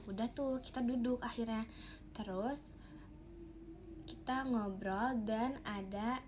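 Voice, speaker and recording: A young woman from Bogor telling a casual, animated storytime, rambling with fillers and laughs. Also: mid-range voice, noisy background. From Bogor, Indonesia.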